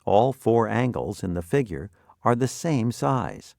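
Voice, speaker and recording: A man's voice: on the low side, very animated, unhurried, vocal fry, darkish tone, no echo, studio quality. A man narrating a scripted vocabulary lesson, reading out definitions and example sentences.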